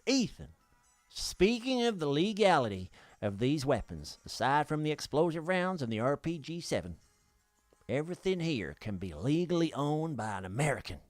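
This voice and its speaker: A man's voice: a little high, sing-song, normal speed, no room sound, faint music behind, pitched above the performer's own voice. A man putting on a wandering Southern US accent as a folksy, theatrical American reading out a letter reply on a podcast. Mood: matter-of-fact. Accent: put-on Southern US, wandering.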